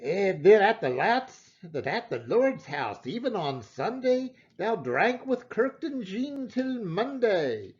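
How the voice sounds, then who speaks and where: A man giving a theatrical, expressive reading of a narrative poem in Scots dialect. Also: high-pitched, slow pace, few pauses, lo-fi sound. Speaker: poetry reader.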